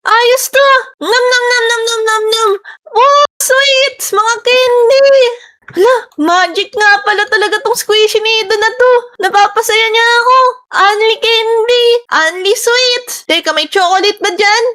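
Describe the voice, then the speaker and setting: A performer voicing an excitable, childlike girl in a Minecraft roleplay, with squealing exclamations and sing-song babble. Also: very high voice, a little slow, exaggerated voice. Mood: gleeful, excited. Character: excitable childlike girl game character, playful and easily delighted.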